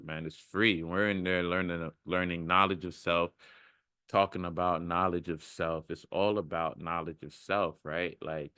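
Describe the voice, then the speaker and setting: A man's voice: deep voice, a bit bright, clean tone, no room sound, studio quality. A man talking casually and earnestly to his viewers in a motivational monologue, rambling, with slangy phrasing and repeating himself for emphasis. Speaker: self-development video creator.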